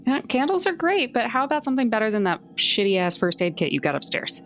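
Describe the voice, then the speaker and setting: A woman's voice: a little high, big pitch swings, fast talker, a bit bright. Woman playing an rpg, blunt wry casual talk, light music behind her. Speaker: tabletop game player. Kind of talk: casual in-character game chat.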